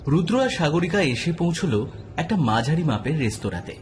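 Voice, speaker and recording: A man's voice: a little high, sing-song, a little fast, slight background noise. A man narrating a scripted detective story as an audio drama narrator.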